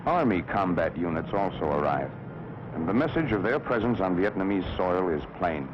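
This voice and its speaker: A man's voice: sits low, lively intonation, normal speed, background noise. An American man narrating a 1960s government propaganda film in a solemn, emphatic, declamatory manner. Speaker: documentary narrator. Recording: dull old film soundtrack with music. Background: music.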